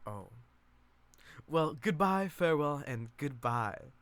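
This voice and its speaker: A man's voice: a little high, expressive, slow pace, a bit bright, no room sound, heavily acted. A man voicing a gushing, mocking busybody Southern woman from a stage play in a theatrical singsong. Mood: breezy farewell. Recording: background music behind the voice.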